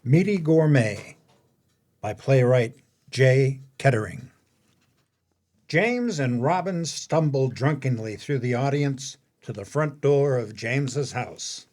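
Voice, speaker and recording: A man reading scripted announcements and stage directions as a narrator, in a formal, measured way. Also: mid-range voice, animated, a little mellow.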